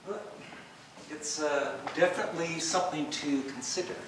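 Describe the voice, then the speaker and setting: A man's voice: a little high, moderate pace, lightly acted. A man playing an awkward, nervous older tax attorney, hesitant and polite, in a stage play rehearsal. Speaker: amateur stage actor in rehearsal. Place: echoey indoor rehearsal room. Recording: very echoey room, distant mic, faint noise.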